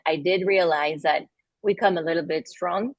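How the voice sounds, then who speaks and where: A woman from Argentina, with an Argentinian accent and Spanish as her first language, talking in a casual interview, halting often with fillers and restarts. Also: fairly low pitch, no room sound, lo-fi sound. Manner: halting, with many fillers and restarts, laughs between phrases. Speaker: guest, company founder.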